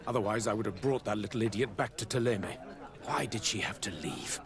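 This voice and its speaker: A man's voice: on the low side, expressive, brisk, a crowd in the background. An actor playing a stern, pious, middle-aged father in a video game, haughty and bitter in his formal speech. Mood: indignant, bitter. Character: stern, pious, scornful father of grown daughter. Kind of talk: video game cutscene dialogue.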